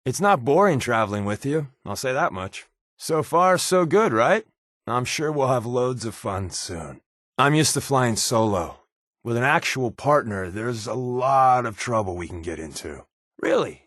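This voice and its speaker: A wisecracking undercover spy from a video game. He is an American man with a mid-pitched voice that swings freely up and down. His talk is glib, casual and sardonic, recorded close and dry. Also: a bit bright, no echo. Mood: irritated, sarcastic. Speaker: video game companion character. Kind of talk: video game voice acting, conversational dialogue.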